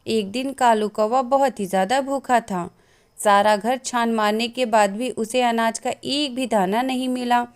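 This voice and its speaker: Fairly high-pitched woman storyteller. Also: smooth. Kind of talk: scripted narration of children's moral story.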